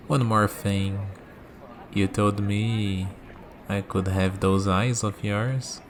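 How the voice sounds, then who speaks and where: A man playing a calm, curious villain in a scripted ASMR roleplay, musing and dryly teasing as he talks. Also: lowish voice, a little hiss or hum. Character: calm, curious young male villain bargaining with a death god.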